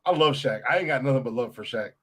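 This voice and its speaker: A man's voice: medium pitch, lively intonation, fast, a bit bright, a little hiss or hum. A man hosting a comedy podcast, bantering casually, laughing often and rambling with fillers. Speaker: podcast host.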